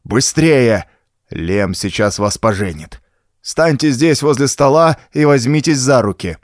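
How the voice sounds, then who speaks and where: A man voicing a frightened, bullying old man in an audiobook, hurried, nervous and imperious. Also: mid-range voice, expressive, no room sound, studio quality, pitched above the performer's own voice, close to an everyday voice. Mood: panicked, urgent.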